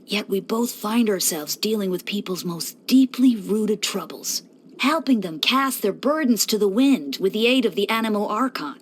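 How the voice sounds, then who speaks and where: A woman's voice: mid-range voice, expressive, normal speed, a little hiss or hum, lightly acted. A woman voicing a playful young male church deacon in a video game, breezy and teasing with theatrical mock solemnity. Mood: grand, playful. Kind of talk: scripted video game character voice lines.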